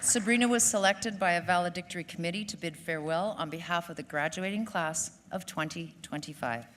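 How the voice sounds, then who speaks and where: A woman hosting a graduation ceremony, making announcements in a relaxed, joking way on a stage mic. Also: fairly low pitch, fairly quick. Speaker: ceremony host. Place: large theater hall. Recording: reverberant hall with faint noise.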